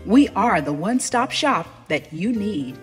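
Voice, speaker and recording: Animated woman reading an ad over music. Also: mid-range voice, takes it slowly. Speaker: advertisement voice-over. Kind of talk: scripted ad read.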